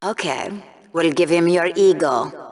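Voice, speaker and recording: A woman's voice: lowish voice, unhurried, a little mellow, close to an everyday voice. An actress playing a cruel, sarcastic female game-show co-host who delivers scornful commentary in a video game. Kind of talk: scripted arena commentary.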